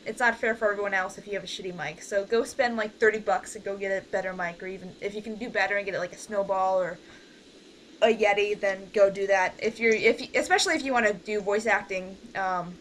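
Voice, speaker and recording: A woman's voice: medium pitch, fast talker, fairly bright tone, a little hiss or hum, poor audio. A woman hosting a casual livestream over a voice call, informal and rambling, with a bossy streak. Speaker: host and leader of an amateur radio play group. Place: online voice call.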